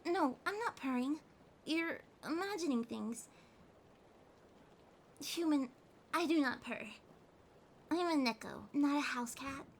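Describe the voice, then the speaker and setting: Woman voicing a high, slow-talking needy cat girl. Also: a little hiss or hum, exaggerated voice. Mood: indignant, sleepy. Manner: pouty, teasing, drowsy, speaking softly to a partner. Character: needy, haughty but affectionate young female cat girl. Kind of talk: ASMR roleplay, soft intimate acting. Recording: steady rain sounds behind voice. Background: rain ambience.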